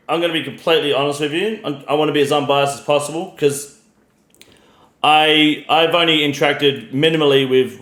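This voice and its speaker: A man's voice: mid-range voice, a bit bright. An Australian man hosting a casual interview, slightly drunk, hesitant and full of restarts. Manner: hesitant, many restarts and fillers, careful. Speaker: streamer host.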